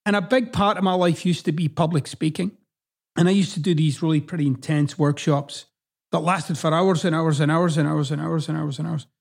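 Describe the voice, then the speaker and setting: A man's voice: a little high, fairly even intonation, brisk, creaky. A middle-aged man from Scotland with a partly Americanized Scottish accent, hosting a podcast in a blunt, emphatic monologue full of swearing. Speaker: podcast host. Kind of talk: casual monologue.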